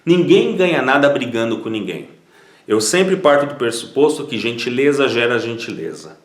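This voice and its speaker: A man's voice: mid-range voice, expressive, moderate pace, echoey room. A man from Brazil with a Brazilian Portuguese accent, giving casual, conversational advice to viewers as a citizenship consultant, often repeating words as he restarts.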